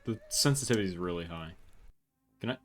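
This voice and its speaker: A man doing low-key, casual game commentary and reading text aloud, sometimes halting over unfamiliar words. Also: expressive, a bit bright, faint music behind. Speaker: gaming video host.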